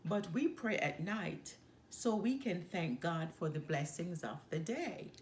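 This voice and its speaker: A woman voicing a calm, kindly mother bear from a children's storybook, explaining gently. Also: on the low side, measured pace. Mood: reassuring. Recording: echoey room with faint background noise.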